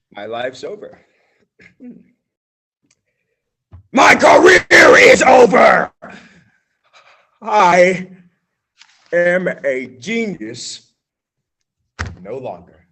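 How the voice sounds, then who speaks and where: A man's voice: high-pitched, pushed and bright, husky, full character voice. A young American man playing a melodramatic, self-important radio playwright in a theatrical radio play style. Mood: despairing, grandiose.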